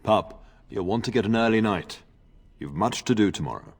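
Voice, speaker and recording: A man's voice: on the low side, expressive, moderate pace, a little mellow. A middle-aged man playing a genial, dignified lord and proud father in a video game. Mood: earnest, fatherly.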